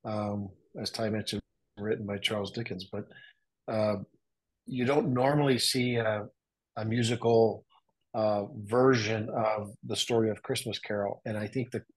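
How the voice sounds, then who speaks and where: Podcast guest: man in his sixties, husky, slightly low voice, reflective and halting. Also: fairly even intonation, low-quality recording. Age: 60s. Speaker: podcast guest and father of the host. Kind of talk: casual podcast conversation.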